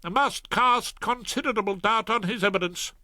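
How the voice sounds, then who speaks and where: Man doing nervous, stammering prosecutor, high and bright. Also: moderate pace, no room sound, studio quality. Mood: apologetic. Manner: hesitant, throat-clearing, stammering. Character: flustered apologetic male prosecutor, middle-aged.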